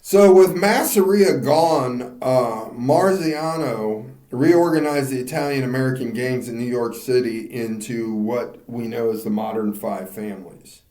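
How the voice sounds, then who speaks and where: Man, podcast co-host, slow, joking and laughing, roomy echo. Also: medium pitch, dark tone. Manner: joking, stumbles over names, laughs often. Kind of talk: casual banter.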